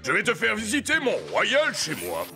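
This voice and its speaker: A man playing a blustery, excitable, scheming king in a children's cartoon, theatrical and full of grumbling exclamations. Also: high voice, normal speed, exaggerated voice. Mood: brisk, bossy. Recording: music behind the voice.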